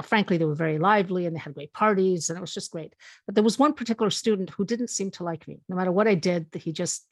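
A middle-aged woman from Spain, co-hosting a podcast and telling a long personal story in a chatty, animated way. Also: fairly low pitch, no echo. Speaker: podcast co-host. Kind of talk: casual storytelling chat.

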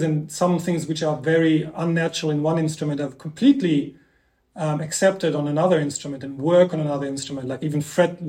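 A man's voice: a little high, mellow. A man whose first languages are Dutch and German, co-hosting a podcast and asking long, winding questions with many ums. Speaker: podcast co-host. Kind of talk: casual music discussion. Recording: echoey room.

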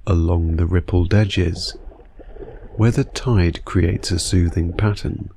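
Very slow, deep-voiced man guiding meditation. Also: steady tone, vocal fry, a little hiss or hum. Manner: soothing, unhurried, hushed delivery with long pauses. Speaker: guided meditation narrator. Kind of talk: guided sleep meditation, scripted narration.

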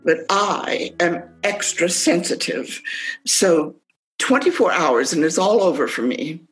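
Actress as a prim, fussy woman, animated and measured. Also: on the low side, faint music behind, poor audio. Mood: exasperated, prim. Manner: precise, prissy, indignant. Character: prim, fussy germophobic woman, anxious and easily exasperated. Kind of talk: radio play acting. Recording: home-recorded virtual radio play.

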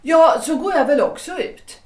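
A woman's voice: high-pitched, animated, a little mellow. An actress playing a composed, coolly ironic wife in a period audio drama, restrained and dryly terse in her replies. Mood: polite, evasive. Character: Gertrud, composed, coolly ironic wife, former opera singer. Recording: echoey room.

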